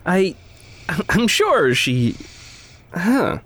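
A man's voice: high voice, very animated, drawn-out words, fairly bright tone, full character voice. An actor playing an awkward, earnest, reserved young man in a fiction podcast, speaking naturally with hesitant, careful, formal wording. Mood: awkward, unsure.

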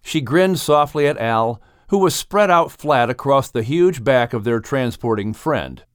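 A man's voice: medium pitch, animated, a little slow, clear, resonant tone, dry recording, studio quality. A man reading scripted narration for a children's audiobook.

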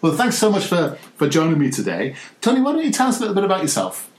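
A man hosting an interview podcast, chatting warmly with frequent restarts and an upbeat manner. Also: high voice, wildly swooping pitch, fast talker, reverberant. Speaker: podcast host. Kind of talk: casual interview chat.

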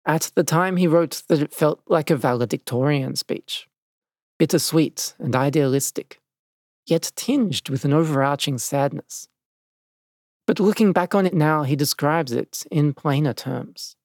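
A man reading scripted documentary narration as a measured, dramatic storyteller. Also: a little high, darkish tone, no echo, clean studio sound. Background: faint music.

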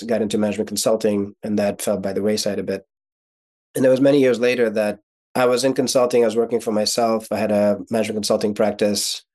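Lower-voiced man, thoughtful interview guest. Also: darkish tone. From Ukraine. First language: Russian. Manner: thoughtful, explanatory, with restarts. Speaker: guest, real estate investor and mentor. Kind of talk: podcast interview.

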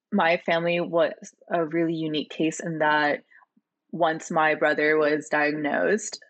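A woman in her twenties, a native Korean speaker from South Korea, answering interview questions in a relaxed, thoughtful way with many fillers. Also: sits low. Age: 20s. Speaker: guest.

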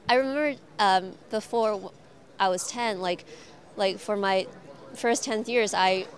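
Earnest young woman from Hong Kong. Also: mid-range voice. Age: young adult. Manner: earnest, many fillers like "like" and "um". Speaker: guest, activist. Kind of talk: casual interview talk.

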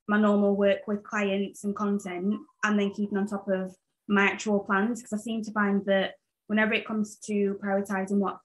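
A woman's voice: medium pitch, poor audio. A woman in her late twenties, born in Zambia and raised in England, hosting her own podcast in a rambling, casual way with plenty of fillers. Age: 20s. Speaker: podcast host. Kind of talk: casual solo podcast chat.

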